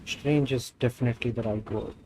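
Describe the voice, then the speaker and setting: A man's voice: mid-range voice, steady tone, brisk, a little mellow, a little hiss or hum. A man with an Indian accent, a native Hindi speaker, doing live gameplay commentary and reading dialogue aloud. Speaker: game streamer.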